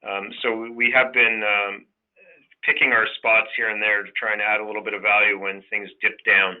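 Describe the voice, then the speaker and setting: A man giving off-the-cuff financial commentary as a portfolio manager on a conference call, in a halting way. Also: fairly low pitch, flat delivery. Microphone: telephone conference line. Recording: thin phone-like conference line.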